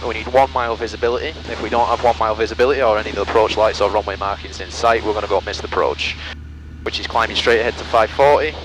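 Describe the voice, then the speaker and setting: Low-voiced male pilot over engine noise. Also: fairly even intonation, fast. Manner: methodical, procedural, clipped aviation phrasing. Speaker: pilot flying a small plane. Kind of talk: cockpit approach briefing and radio calls. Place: cockpit of a small plane in flight. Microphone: aviation headset. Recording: loud aircraft cabin noise through headset intercom.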